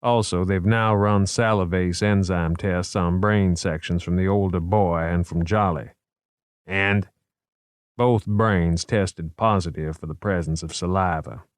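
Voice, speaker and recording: Man doing a deep-voiced, genteel, erudite investigator. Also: steady tone, clean tone, no room sound, studio quality. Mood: calm, wry. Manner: measured, formal, wry.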